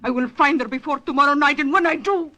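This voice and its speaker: A woman's voice: high-pitched, fast talker, pushed and bright. A woman playing a jealous, threatening lover in an old-time radio drama. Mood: jealous anger.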